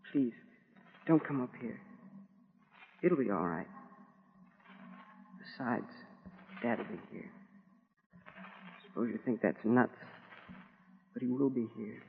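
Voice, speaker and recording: An actor playing a wistful, proud military school boy in an earnest, halting monologue to his mother, in 1940s radio drama style. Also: mid-range voice, close to an everyday voice. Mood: brave, proud. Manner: plain boyish colloquial talk, earnest and halting. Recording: scratchy, narrow-band 1940s radio recording.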